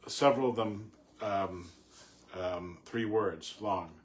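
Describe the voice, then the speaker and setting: A man reading and discussing poetry informally, in a reflective, halting, explanatory way. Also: lowish voice. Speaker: poet reading and commenting on a poem. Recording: clearly audible background noise.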